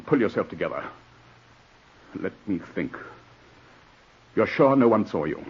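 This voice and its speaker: A man's voice: fairly low pitch, sing-song, measured pace, breathy edge, exaggerated voice. An actor in a 1940s radio drama playing a stern, ambitious barrister, a cold, self-serving man with a clipped, commanding delivery. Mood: cold, probing. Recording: muffled vintage 1940s radio recording.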